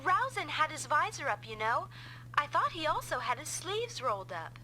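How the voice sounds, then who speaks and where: A woman's voice: sits high, sing-song, moderate pace, pushed and bright, slight background noise, heavily acted, poor audio. An actress playing an alert, persistent and inquisitive young female pilot in an anime-style video game dub. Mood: curious.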